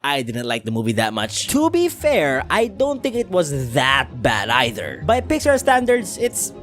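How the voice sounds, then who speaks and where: Female video-essay reviewer, lively swooping pitch, sarcastic and informal, faint music behind. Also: unhurried. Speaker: film reviewer. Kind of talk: scripted video review commentary.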